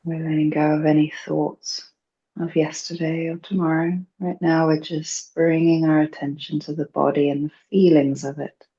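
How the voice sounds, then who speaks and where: A woman leading a guided meditation in a gentle, hushed, soothing and unhurried way. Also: sits low, flat delivery, breathy edge. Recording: dull, muffled lo-fi recording.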